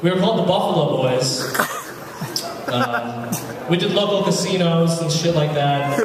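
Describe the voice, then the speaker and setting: A man's voice: a little high, expressive, a little slow, heavy background noise. A young man doing a stand-up comedy set at a college open mic, telling crude, self-mocking jokes in a casual way. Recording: echoey hall, distant mic, crowd laughter. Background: audience laughing.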